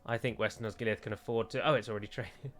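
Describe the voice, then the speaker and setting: A man's voice: on the low side, steady tone, very fast, fairly bright tone. A man giving casual gameplay commentary, chatty and self-mocking, with jokey asides. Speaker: let's play host.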